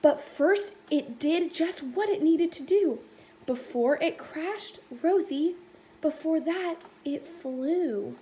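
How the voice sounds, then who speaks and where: Woman playing a high-voiced spirited old great-aunt. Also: expressive, takes it slowly, dark tone. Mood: jubilant, encouraging. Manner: emphatic, exclamatory. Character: spirited, encouraging old great-great-aunt, a former airplane builder. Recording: faint background noise.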